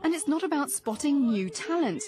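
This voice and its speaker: A woman's voice: high-pitched, lively intonation, moderate pace. A woman television reporter reading scripted narration. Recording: music under the voice. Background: classical music.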